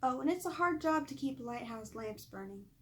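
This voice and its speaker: A woman's voice: high voice, lively intonation, normal speed. A woman voicing a playful, teasing creative older sister in a storybook. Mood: coy, teasing. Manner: coy, teasing.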